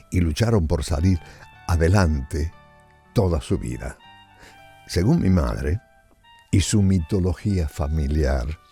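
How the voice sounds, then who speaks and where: An elderly man with a northern Argentine accent tells stories in a low, mellow voice. He narrates like an author reading his own memories, adding small conversational asides. Music plays behind him. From Salta, northern Argentina. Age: 70s. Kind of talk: storytelling narration of family memories.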